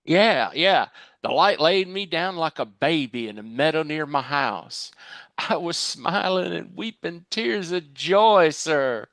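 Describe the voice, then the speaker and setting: Man playing slow, blissed-out weepy abductee. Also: a little high, expressive, a bit bright, no room sound, exaggerated voice. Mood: blissful. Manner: awed, sentimental, halting. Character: blissed-out, weepy, earnest male alien abductee. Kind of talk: comedic sketch table read.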